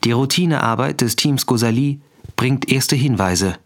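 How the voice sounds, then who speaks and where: An actor playing a terse announcer of chapter headings in a crime radio drama. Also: male voice, mid-range voice, unhurried, natural voice. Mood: factual, terse. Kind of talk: scripted radio drama narration. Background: music.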